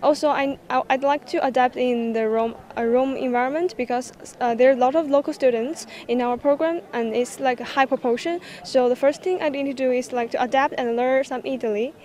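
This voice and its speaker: A woman's voice: high voice, brisk, hardly any pauses, warm tone. A nineteen-year-old woman, an exchange student whose first language is Chinese, answering in hesitant English with many fillers. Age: teens. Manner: hesitant, many fillers while searching for words.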